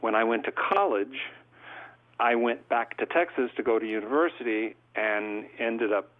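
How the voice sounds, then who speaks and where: A man's voice: medium pitch, moderate pace. A middle-aged man from California, a court reporter and interview guest, telling long stories in a thoughtful, conversational way. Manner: thoughtful, long storytelling answers. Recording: tinny phone-like line.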